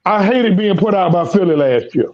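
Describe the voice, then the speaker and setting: Hoarse guy talking baseball. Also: high voice, expressive, moderate pace, lo-fi sound. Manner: rambling, repeats words, Southern American slang. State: losing his voice. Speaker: sports podcast host. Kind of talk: casual sports talk.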